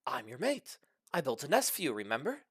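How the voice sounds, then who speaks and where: A man's voice: mid-range voice, huge pitch swings, brisk, husky, no echo, clean studio sound, pitched above the performer's own voice. A man voicing a lovesick, naive male dragon in a storybook, earnest and gushing. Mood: earnest, hurt.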